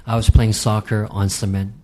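A fairly low-voiced man who grew up in Hong Kong talks as a podcast guest. He explains the property market in a measured, emphatic way. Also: flat delivery, mellow. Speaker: real estate guest expert. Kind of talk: podcast interview.

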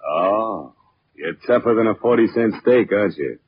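A muffled, faintly noisy vintage radio drama. An actor plays a pompous bandleader with a fairly low voice, haughty and mocking, itching for a fight. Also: male voice, lively intonation, moderate pace, natural voice. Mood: sneering, menacing. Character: pompous, sneering bandleader trumpeter, spoiling for a fight. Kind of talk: 1940s radio acting. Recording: muffled, clicky old radio recording.

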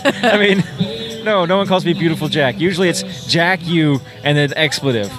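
A slightly high-voiced man chats at an outdoor convention pavilion, rambling on with plenty of "like" and easy laughs. Music plays clearly behind him. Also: normal speed. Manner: rambling, chatty, laughs often. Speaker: guest vendor. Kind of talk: casual chat.

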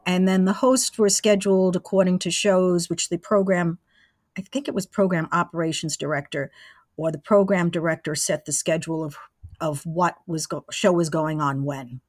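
A woman in her sixties talks as an interview guest, reminiscing in a conversational way. Her voice sits a little low for a woman and the sound is very dry, with no room around it. She explains how things worked one step at a time. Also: fairly even intonation, moderate pace, darkish tone, no echo. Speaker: interview guest, former college radio engineer.

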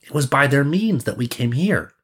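Man playing storybook prince, slightly raised pitch, courteous, confident, formal, showman manner. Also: animated, moderate pace, a bit bright, husky. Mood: proud, showy. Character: young prince, courteous, confident wonder-worker.

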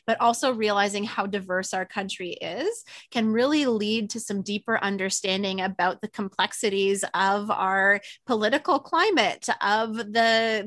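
A woman from Canada hosting a conversational interview, earnest and reflective, slowing down to stress key words. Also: medium pitch, a bit bright, no room sound, studio quality. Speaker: podcast host.

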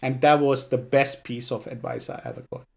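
A man's voice: mid-range voice, steady tone, a little fast, vocal fry, a little mellow. A man with English as a second language, asking easygoing questions in a casual podcast chat. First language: not English.

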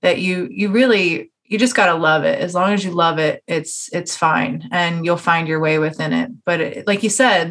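A woman's voice: on the low side, fairly bright tone. A woman hosting a podcast interview in a casual, informal, conversational way. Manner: casual, informal phrasing with "man" and "you know".

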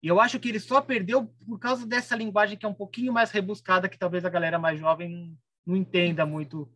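Brazilian co-host, fast and chatty, dry close sound. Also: male voice, sits high, fairly even intonation, sharp tone, no room sound. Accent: Brazilian Portuguese. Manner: chatty, agreeing asides. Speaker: podcast co-host. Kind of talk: casual podcast chat.

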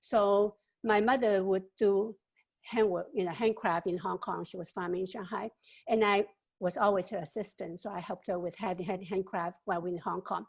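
An older woman in her seventies with a gravelly, fry-tinged voice. She answers an interview question at length, and her reflective telling stops and starts with fillers and repeated words. Her pitch sits in the middle range. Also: fairly even intonation, moderate pace. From China. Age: 70s.

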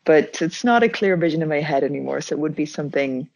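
A young woman with a lowish voice tells stories from her own life in a relaxed, reflective way. She circles back and restarts her sentences as she talks. The recording is dry and close, with no room sound. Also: fast, creaky, no room sound. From Zimbabwe. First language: Dutch. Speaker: interviewee. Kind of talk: casual conversation.

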